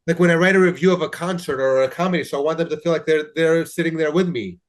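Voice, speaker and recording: A man's voice: on the high side, fairly even intonation, fast. A congested man, a journalist guest, earnestly explaining his work in a casual interview. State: congested from allergies.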